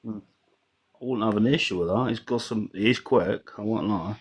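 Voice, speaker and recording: A man's voice: lowish voice, moderate pace, breathy edge. A man on a football phone-in who hesitates, trails off and restarts his sentences. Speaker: caller. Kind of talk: casual football chat.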